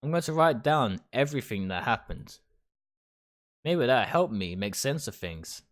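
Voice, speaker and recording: A man reading first-person game narration aloud dramatically, in short, deliberate phrases. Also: mid-range voice, very animated. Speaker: game streamer reading a visual novel aloud. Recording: music behind the voice.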